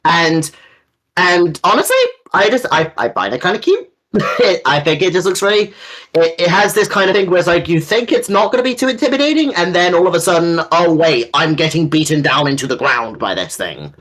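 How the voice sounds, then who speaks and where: Lively higher-voiced man, jokey and halting. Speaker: co-host of a fan countdown video. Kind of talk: casual geeky chat.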